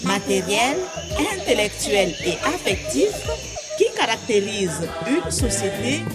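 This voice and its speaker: A woman's voice: mid-range voice, big pitch swings, a little slow. A woman presenter reading a scripted radio promo in a melodic, sing-song way. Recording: music bed under voice.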